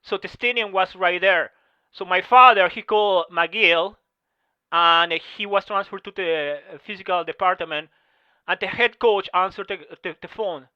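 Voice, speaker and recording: A middle-aged man whose first language is neither English nor French, giving halting testimony full of pauses and restarts over a video call. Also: high voice, clear, resonant tone, no echo. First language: not English or French. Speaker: Masters track and field athlete. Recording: slightly muffled video call audio.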